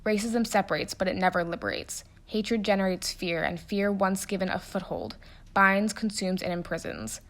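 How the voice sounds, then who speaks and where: A woman giving a dramatic, deliberate and emphatic reading of a commemorative speech. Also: mid-range voice, expressive.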